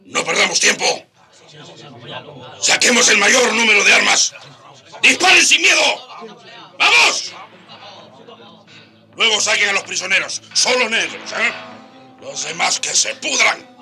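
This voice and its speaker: An actor playing a fierce African rebel leader and escaped slave in a radio drama, brusque, commanding and challenging. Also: male voice, high voice, sing-song, measured pace, pauses often, sharp tone, exaggerated voice. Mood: urgent. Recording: radio drama with faint background music.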